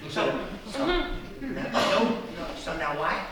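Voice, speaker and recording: A man playing an effusive host of a monsters' dinner party, theatrical and halting, in a live comic radio play. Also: sits high, sing-song, over a noisy crowd, exaggerated voice. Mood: grandly welcoming. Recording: echoey theatre, far from mic.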